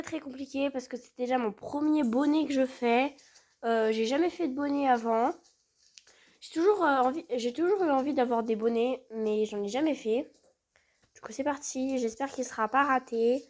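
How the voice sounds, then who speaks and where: Girl with a cold, kinda high voice, rambling and umming through a craft vlog on the couch. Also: darkish tone. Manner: chatty, hesitant with many fillers. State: has a cold. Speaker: vlogger. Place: living room, on the sofa.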